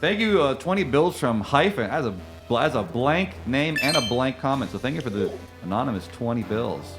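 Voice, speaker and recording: A man hosting a live charity gaming marathon, his voice bouncing high and low as he teases his co-hosts and reads out donations. He talks fast and casually, quick to laugh. Game music plays clearly behind him. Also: animated. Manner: playful, teasing, quick to laugh. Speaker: marathon co-host reading donations. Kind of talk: casual live-stream banter.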